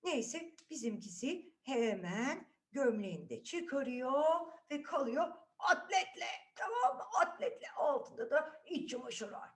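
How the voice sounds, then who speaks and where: Animated, high-voiced woman telling children's tales. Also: unhurried, hardly any pauses. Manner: playful, chatty, checks in with listeners. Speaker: children's storyteller, host. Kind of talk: animated fairy tale narration. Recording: music behind the voice. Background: music.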